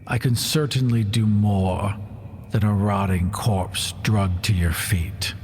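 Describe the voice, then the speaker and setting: A man's voice: fairly low pitch, fairly even intonation, slow pace, darkish tone, long reverb tail, slight background noise, pitched below the performer's own voice. A man voicing a bitter, proud fallen paladin in a tabletop game, speaking tersely and demanding what he is owed. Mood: stern, demanding. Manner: stern, terse, entitled.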